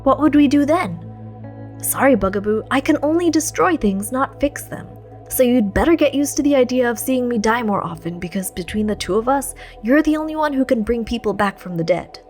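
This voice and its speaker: A woman's voice: fairly high pitch, expressive, fairly quick, soft background music, natural voice. A woman voicing a hot-tempered, self-sacrificing teenage boy hero in story dialogue, taut and terse. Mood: bitter.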